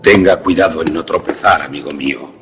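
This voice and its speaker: A man playing a courteous, eccentric scientist in a suspense radio play, formal and deliberate as he explains his invention. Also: rumbling low, music in the background.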